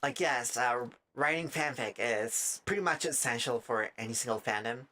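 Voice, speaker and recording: A streamer with a raspy, dry-throated voice, chatting casually in a halting way and often breaking off mid-thought. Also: mid-range voice, pushed and bright. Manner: halting, self-interrupting, trailing off mid-thought. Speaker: live streamer. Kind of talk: casual rambling chat while gaming, giving art advice.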